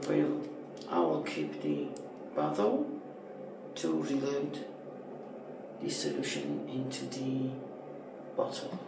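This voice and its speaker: Gravelly Iranian-accented man, halting and slow. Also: mid-range voice, wildly swooping pitch. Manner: halting, short broken phrases. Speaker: electronics hobbyist presenter. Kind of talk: casual hands-on tutorial. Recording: roomy echo with faint noise.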